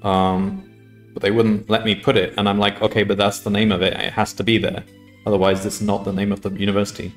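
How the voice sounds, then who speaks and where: Thirties male streamer, low voice, loose self-correcting chat, soft music under. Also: little pitch movement, a little fast. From United Kingdom. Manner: rambling, self-correcting, laughs often. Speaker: coding livestreamer. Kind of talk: casual live-stream chat while coding. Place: home room at a desk.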